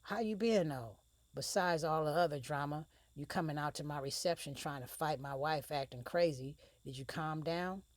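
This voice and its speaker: A woman's voice: sits low, normal speed, no room sound, close to an everyday voice. A woman voicing a brash young male rapper who talks in street slang, teasing and blunt. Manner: slangy, teasing, then heated and defensive. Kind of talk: audiobook character voice.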